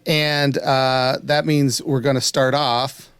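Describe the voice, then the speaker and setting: Dry-sounding male podcast host, mid pitch. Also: level tone, takes it slowly, a bit bright, clean tone, no room sound. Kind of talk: casual unscripted chat.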